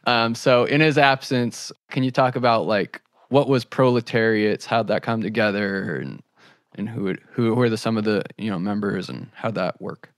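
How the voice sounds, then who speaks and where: A man's voice: on the low side, normal speed, no echo, clean studio sound. A man hosting a podcast interview in a casual, informal, conversational way. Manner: informal, frequent use of "like" as he explains.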